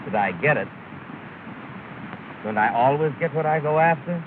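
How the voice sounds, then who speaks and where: A man's voice: fairly high pitch, lively intonation, moderate pace, natural voice. A man playing a smug, cocky fellow in a 1930s film. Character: smug, cocky man showing off his success. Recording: scratchy, tinny 1930s film soundtrack.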